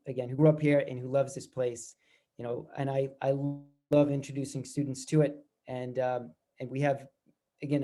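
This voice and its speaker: A man's voice: fairly high pitch, mellow. A middle-aged man, a campus minister telling his story in a rambling way. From Worcester, Massachusetts. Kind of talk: casual personal storytelling.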